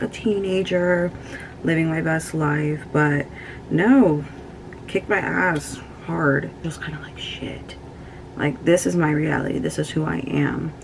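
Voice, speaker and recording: Gravelly young woman chatting candidly. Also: sits low, slight background noise, low-quality recording. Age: 20s. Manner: candid, chatty, swears freely, lots of fillers. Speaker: beauty vlogger. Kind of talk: casual get-ready-with-me chat.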